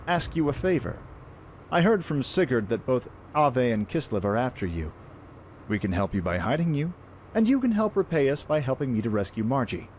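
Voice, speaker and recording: A man playing a brash, friendly young pirate prince in an animated, informal way for a fan audio drama. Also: medium pitch, a little hiss or hum.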